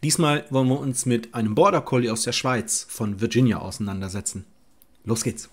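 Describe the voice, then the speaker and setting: A man's voice: mid-range voice, a little fast. A middle-aged man, a dog trainer, talking through a viewer's question in his home office, casual and thinking aloud with fillers and restarts. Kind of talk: advice video, reading and answering a viewer letter. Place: home office with bookshelves, indoors.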